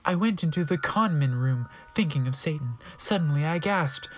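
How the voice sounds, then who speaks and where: Theatrical, mocking man narrating, slightly high voice. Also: lively intonation, moderate pace. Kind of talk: comedic dramatic reading with wry asides.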